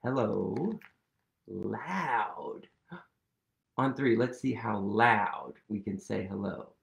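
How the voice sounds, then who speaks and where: A man in his thirties reading a picture book aloud to children, animated and playful, leading them in actions, recorded on a webcam in a small room. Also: lowish voice, slow, gravelly. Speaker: storytime reader.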